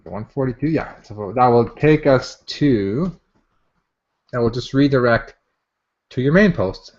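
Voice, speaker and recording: A man with a medium-pitched voice hosts a live online software demo. He talks casually and enthusiastically, addressing viewers in a chatty, informal way. The audio is dull and somewhat muffled, like a lo-fi webinar feed.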